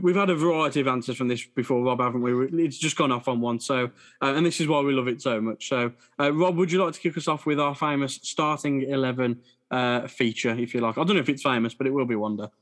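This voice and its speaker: A man's voice: medium pitch, fairly bright tone. A man hosting a casual football podcast, chatty and jokey, with lots of fillers. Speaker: podcast host.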